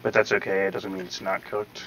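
A man's voice: sits low, little pitch movement, brisk, gravelly, fairly bright tone, low-quality recording. A man giving casual gameplay tutorial commentary, rambling step by step with some hedging, his voice sounding slightly robotic over the recording. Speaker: gaming tutorial host. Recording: slightly robotic processing, faint background noise.

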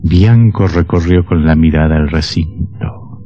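Muffled radio recording, sinister male storyteller, very low voice, solemn, ominous horror narration. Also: a little slow, dry recording. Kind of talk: scripted radio drama narration. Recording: muffled old radio sound, music behind.

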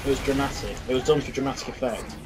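A man's voice: mid-range voice, fairly even intonation, fast talker, background noise. A young man chatting casually and teasingly as co-host of a gaming video, in a dry manner. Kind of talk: casual gameplay chat.

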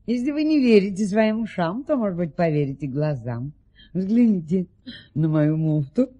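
A poised duchess in a radio play. Her voice is mellow and lively in pitch. She tells tall tales with stately, genteel grace. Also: normal speed, dull audio. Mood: genteel, composed. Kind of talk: audio play storytelling.

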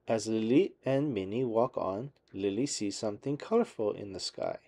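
Mellow man reading a children's storybook. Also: on the low side, normal speed. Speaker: children's book narrator. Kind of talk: storybook read-aloud for young children.

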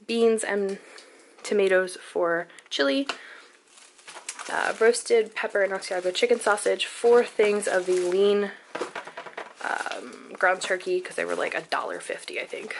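A woman's voice: mid-range voice, slight background noise. A young woman chatting casually in a voice-over, walking through items in her home kitchen and listing them with fillers. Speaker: lifestyle vlogger.

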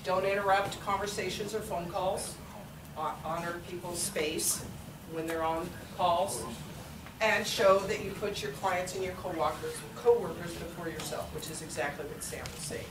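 A middle-aged woman presenter with a loud voice that projects, stammering a little as she offers earnest advice. She is heard from across a small echoey meeting room, with a steady hum behind her. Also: lowish voice, normal speed, recorded from a distance. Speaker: workshop presenter. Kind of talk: business etiquette seminar talk. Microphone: distant camera mic.

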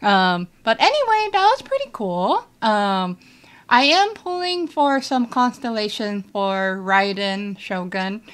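A young woman reacts to a game video from her small bedroom setup. She speaks slowly, pausing often with fillers. She sounds relaxed and unhurried, recorded through an earphone mic. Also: mid-range voice. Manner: relaxed, hesitant, many fillers. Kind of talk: casual reaction chat.

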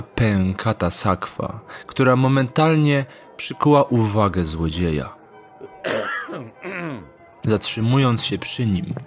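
A man narrating a scripted dark fantasy audio drama in a measured, deliberate storytelling manner. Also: lowish voice.

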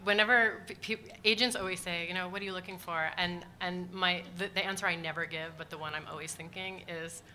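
Enthusiastic low-voiced woman at a panel. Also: steady tone, a little fast, few pauses, pushed and bright. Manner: enthusiastic, many "um" fillers. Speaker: book editor on a panel. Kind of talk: panel pitch and discussion. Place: large conference hall. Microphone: table microphone.

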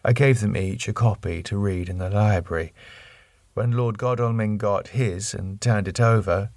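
Male audiobook narrator, lowish pitch, close dry studio sound, reading classic fiction. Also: normal speed, creaky, darkish tone, no echo. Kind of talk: scripted narration of a classic novel.

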